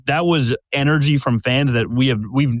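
A man's voice: mid-range voice, normal speed, dry recording, clean studio sound. A man hosting a casual sports podcast, talking in a conversational way, full of fillers, and steering the talk with questions. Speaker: podcast host. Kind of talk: casual chat.

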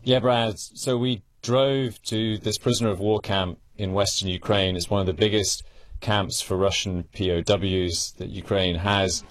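A man's voice: on the low side, steady tone, unhurried. A man working as a foreign correspondent, telling his field report in a conversational way.

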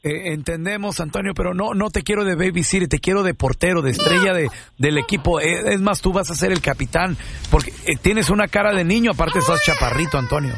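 A man's voice: high voice, fairly quick, creaky, background noise. A man with a Mexican accent making a prank phone call, teasing and persuading in a mock-earnest way. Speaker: radio show prank caller.

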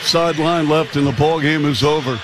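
A man calling basketball play-by-play, shouting his excited calls. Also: on the high side, normal speed, background noise. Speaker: play-by-play announcer.

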